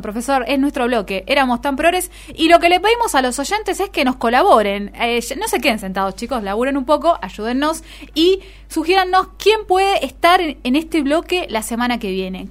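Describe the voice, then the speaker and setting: A woman with an Argentine accent hosting an informal political radio show in a playful, teasing way, fond of rhetorical questions. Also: high-pitched. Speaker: radio host.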